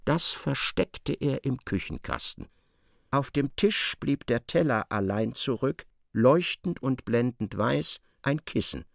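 A man's voice: mid-range voice, expressive, takes it slowly, gravelly, dry recording, studio quality. A man narrating a literary radio play in a dramatic, scripted style.

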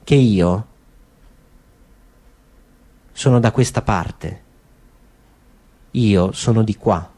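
A man's voice: on the low side, extremely slow, long pauses, warm tone, husky, voice pushed down, full character voice. A man reading a stiff, defensive young soldier. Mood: declaiming. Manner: stiff, declaiming. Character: tense young male soldier, defensive and rehearsed. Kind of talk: radio drama reading.

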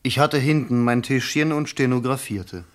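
A man plays a suave, cynical newspaper reporter in a 1970s German radio drama. He speaks in a mid-pitched voice with measured, persuasive eloquence. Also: natural voice. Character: smooth, cynical newspaper reporter coaching a politician.

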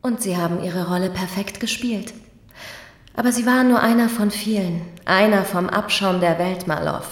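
A woman's voice: medium pitch, normal speed, close to an everyday voice. A woman playing a bitter, scornful femme fatale in a hardboiled radio drama. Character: dark, bitter, scornful woman confronting an investigator. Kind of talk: noir radio drama acting. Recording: echoey room.

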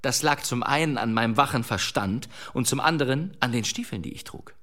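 A man in a German radio play acting a self-assured adventurer who tells tall tales in florid, measured storytelling. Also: mid-range voice, a bit bright, lightly acted. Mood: dramatic boasting.

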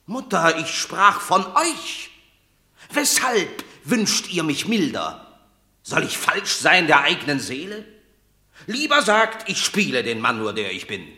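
A proud Roman warrior in a classic radio play. His voice is gravelly and forceful, with slow, declamatory stage diction and an indignant edge, in a roomy recording. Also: fairly high pitch, very animated, heavily acted. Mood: proud indignation. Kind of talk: classic German radio drama acting. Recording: roomy radio drama studio sound.

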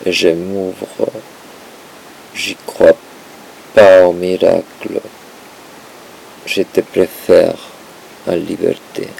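Very slow, gravelly deep-voiced man reciting poetry. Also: little pitch movement, a little mellow, slight background noise, rough recording. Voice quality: gravelly, creaky. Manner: halting, dramatic delivery in short broken phrases. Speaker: poetry translator and performer. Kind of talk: performative poetry reading.

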